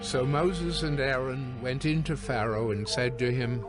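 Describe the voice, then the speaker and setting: A man's voice: medium pitch, a little slow, music in the background. A man narrating a scripted scripture reading.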